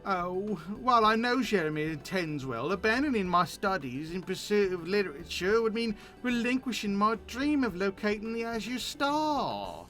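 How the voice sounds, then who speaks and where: A man's voice: high-pitched, big pitch swings, moderate pace, hardly any pauses, fairly bright tone. A man voicing a gloomy, timid young student with an earnest, formal way of speaking, reading game dialogue aloud. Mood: anxious.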